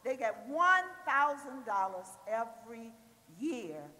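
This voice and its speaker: A woman's voice: on the high side, animated, takes it slowly, pushed and bright. A woman in her sixties speaking from the audience at a church panel, giving an emphatic testimony that swells with fervor. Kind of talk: impassioned testimony. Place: large church hall. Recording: roomy hall from far off.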